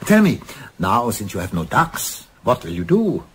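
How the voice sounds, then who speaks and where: An actor playing a courteous, probing German yacht owner of about fifty with a German accent in a radio play. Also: male voice, mid-range voice, wildly swooping pitch, moderate pace, a bit bright, husky, full character voice. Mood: suave, probing. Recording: faint background noise.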